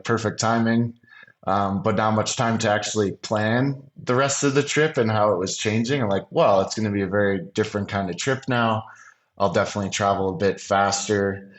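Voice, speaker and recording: A man's voice: fairly low pitch, reverberant. A man in his thirties, a podcast guest, talking casually with many fillers. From United States. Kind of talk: casual interview chat.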